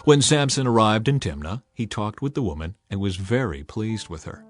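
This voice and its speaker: A man narrating a dramatized scripture audiobook as an expressive storyteller. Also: fairly low pitch, moderate pace. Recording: music behind the voice. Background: music.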